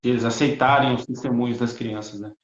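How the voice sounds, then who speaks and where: A man, a missionary guest on a video call, recounting a church trip in a halting way and repeating his words. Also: medium pitch, steady tone. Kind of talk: casual testimony. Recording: echoey, lo-fi call audio.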